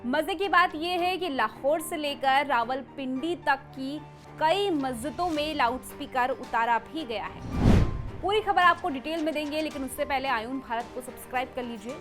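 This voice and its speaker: Female news presenter, high voice, emphatic dramatic delivery, music underneath. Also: moderate pace. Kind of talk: scripted news narration.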